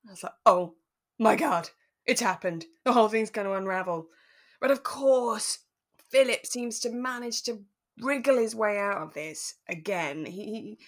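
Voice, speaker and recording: A woman co-hosting a podcast, chatting casually and enthusiastically about a drama and agreeing readily as she goes. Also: fairly high pitch. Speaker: podcast co-host. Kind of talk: casual chat reviewing a radio drama.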